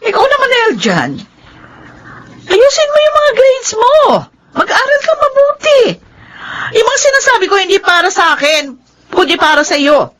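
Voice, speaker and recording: A woman's voice: extremely high pitch, big pitch swings, moderate pace, a bit bright, heavily acted, low-quality recording. An actress playing an exasperated but caring middle-aged mother in a Filipino radio drama. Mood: admonishing.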